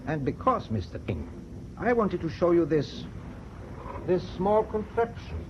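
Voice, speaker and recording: A cold warship captain, on a muffled, noisy old radio recording. His fairly high voice is clipped and formal, with sweeping, sarcastic menace. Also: big pitch swings, a little slow. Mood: coldly sarcastic. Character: cold, imperious male German ship's captain in wartime. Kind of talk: radio drama acting.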